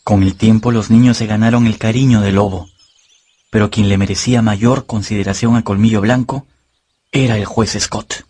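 A man's voice: lowish voice, steady tone, normal speed, dark tone, slightly rough. A man narrating a radio drama from a script in a storytelling style.

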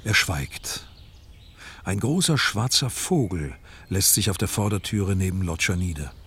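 A man with a husky voice narrating a radio drama in sparse, detached statements. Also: sits low, very animated, a little slow, fairly bright tone, heavily acted.

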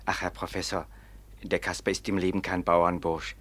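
An actor playing a simple, kindly prison warder in a 19th-century radio play, deferential, earnest and a bit halting. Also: male voice, sits low, flat delivery, fairly bright tone. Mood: earnest, confiding.

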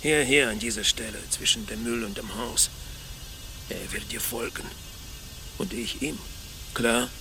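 Cold hired killer, gravelly voice, curt, commanding, radio crime drama. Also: male voice, medium pitch, sing-song, measured pace, pauses often, background noise.

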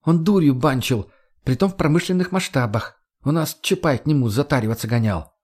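Man voicing a brash, higher-pitched young woman. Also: brisk, darkish tone, lightly acted. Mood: bossy. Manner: slangy, mocking, argumentative. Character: brash foul-mouthed sarcastic young woman, survivor. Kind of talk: audiobook character voice.

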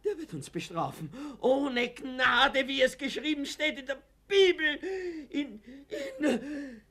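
An actor with an Austrian accent playing an excitable, naive postman in his fifties in a radio play, theatrical and rambling. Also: very high-pitched, slow, heavily acted. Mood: frenzied, prophetic. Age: 50s. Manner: theatrical, rambling, dialect-tinged. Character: excitable, naive middle-aged postman, fervent and plaintive. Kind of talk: German radio play acting.

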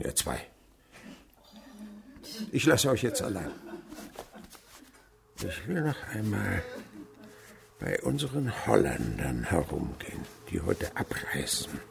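A man's voice: lowish voice, vocal fry, full character voice. An actor playing a sly, gleeful old winegrower and domineering father in a radio drama. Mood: gruff, commanding.

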